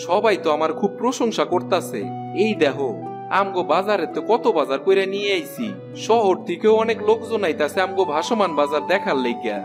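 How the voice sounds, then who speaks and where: An eager, good-natured village husband from a cartoon, with a high voice and a rural Bangladeshi accent. His talk is folksy and earnest. Music plays clearly behind him. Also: expressive, brisk. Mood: proud, playful. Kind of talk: Bengali cartoon fairy-tale acting.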